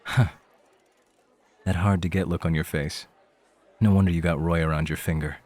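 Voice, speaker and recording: A man's voice: deep voice, fairly even intonation, moderate pace, darkish tone, no room sound. A man playing a young rookie club host in an ASMR roleplay, casual and cheeky, with bravado over self-doubt. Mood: cheeky, bold.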